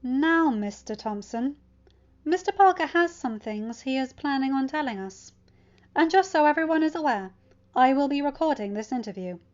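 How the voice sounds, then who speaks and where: Audiobook role: male principal, firm, official, measured, higher-pitched, performed by a woman. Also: expressive, lo-fi sound. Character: stern, formal male school principal.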